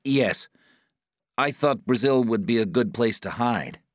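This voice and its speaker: Man acting out frightened captive, voice pitched up. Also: lively intonation, unhurried, dry recording, studio quality, natural voice. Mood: anxious, cooperative. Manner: pleading, frightened. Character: terrified male captive pleading under torture. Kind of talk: audiobook character dialogue.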